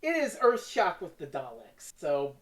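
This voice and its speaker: Animated, playful co-host. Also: medium pitch, unhurried. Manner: teasing, playful. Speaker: podcast co-host. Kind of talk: casual banter.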